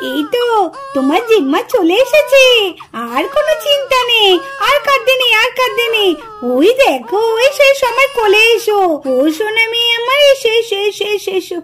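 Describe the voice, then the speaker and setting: A very high-voiced, doting ghost auntie played by a woman in a puppet cartoon. She coos and exclaims over a baby and is quick to scold. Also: animated. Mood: tender cooing.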